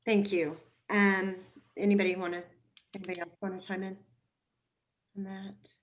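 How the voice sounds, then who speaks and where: A woman's voice: medium pitch, little pitch movement, normal speed. A woman informally moderating an online panel over a video call and putting questions to the speakers. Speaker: discussion moderator.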